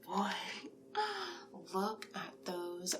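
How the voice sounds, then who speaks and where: A woman's voice: lowish voice, level tone, very slow, a little hiss or hum. A woman playing a chatty, encouraging monster matchmaker in a confiding, gushing one-sided ASMR roleplay. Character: chatty, encouraging female monster matchmaker pitching candidates to a client.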